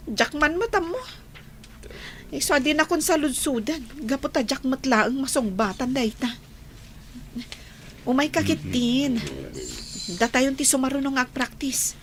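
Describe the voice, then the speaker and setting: A woman's voice: high-pitched, unhurried, pauses often, slight background noise. A woman playing an earnest young student in an Ilocano radio drama. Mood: earnest, defensive.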